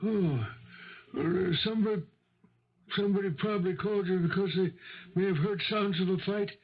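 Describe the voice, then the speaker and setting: Anxious, high-voiced, obliging uncle. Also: male voice, brisk. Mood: uneasy. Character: anxious, obliging uncle answering his door. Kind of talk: 1970s radio mystery drama acting. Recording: dull old radio broadcast recording.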